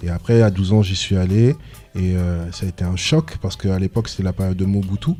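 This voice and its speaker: A man's voice: low voice, brisk, warm tone, soft background music. A man, a reggae artist, talking earnestly and passionately in a casual radio interview, rambling and restarting his sentences. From France, Congolese descent.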